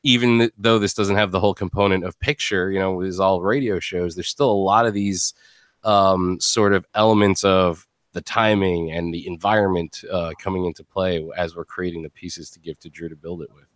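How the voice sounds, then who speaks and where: A man's voice: low voice, steady tone, normal speed, a bit bright, no room sound. A man hosting a casual podcast interview in a rambling, conversational way, given to chuckling. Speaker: host.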